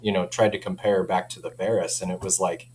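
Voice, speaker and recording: A man's voice: deep voice, steady tone, fairly quick, a little mellow, slightly rough, low-quality recording. A man speaking informally as a company representative in a casual product chat, often framing things as personal opinion. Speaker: audio company representative and interview guest.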